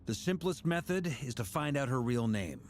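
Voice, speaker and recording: A man's voice: mid-range voice, animated, moderate pace, a little hiss or hum, lightly acted. A man playing an easygoing, confident knight commander in an anime game, with a casual, teasing manner. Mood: matter-of-fact. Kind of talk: anime video game dialogue.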